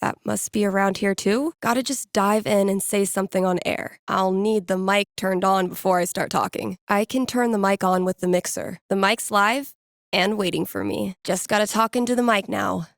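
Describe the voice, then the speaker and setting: A woman's voice: medium pitch, steady tone, normal speed, creaky, dry recording, studio quality, close to an everyday voice. A woman voicing a wry, casual young American record store DJ in a video game, muttering to herself with self-amusement. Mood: casual, self-coaching. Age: young adult. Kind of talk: naturalistic video game voice acting.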